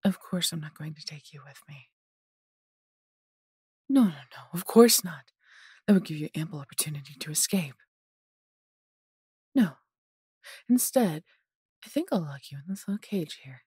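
A woman voicing a possessive, haughty yet doting female dragon in an intimate audio roleplay, condescending and theatrical, breathy and husky, often dropping to a whisper. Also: low-pitched, mellow, dry recording, clean studio sound, full character voice. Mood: firm, condescending. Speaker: voice actor.

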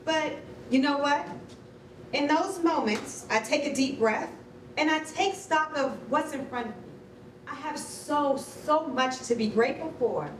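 A woman's voice: high-pitched, animated, unhurried. An actress playing a loving, comforting mother in a staged children's storybook reading, speaking tenderly and sincerely. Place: concrete rehearsal hall, standing at music stand. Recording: distant roomy stage recording, faint noise.

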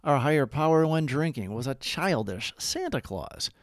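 Man, devotional narration, measured and emphatic, animated pitch, dry close sound. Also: no room sound. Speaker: narrator of daily recovery readings. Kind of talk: scripted devotional read-aloud.

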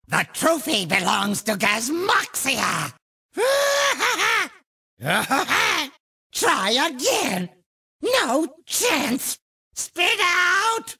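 A man voicing a manic, gloating alien racer villain in a video game, with a shrill, gravelly, theatrical and taunting delivery. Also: pushed and bright, exaggerated voice. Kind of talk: exaggerated cartoon video game voice lines.

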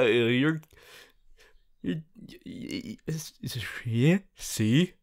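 Guy doing a goofy young superhero student, boomy and theatrical, slow drawn out words, big pitch swings, roleplay audio. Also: a bit bright. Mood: eager, bashful. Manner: booming theatrical boasts, drawn-out exclamations, hesitant stammered asides. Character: young cheerful aspiring superhero student, boisterous, earnest and goofy. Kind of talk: ASMR audio roleplay addressed to listener.

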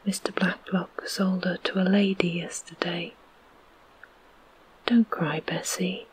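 A storyteller voicing a loyal, gentle young boy, still in a soft whisper. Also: medium pitch, little pitch movement, slow pace, a little hiss or hum, lightly acted, poor audio. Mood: comforting.

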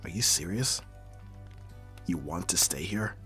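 A man acting a brooding mafia boss, hard but secretly caring, in a dramatic audio roleplay with terse, measured delivery. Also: low-pitched, lively intonation, bright, forceful tone, faint music behind, full character voice. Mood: low, gentle. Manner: terse, profane, sighing, measured.

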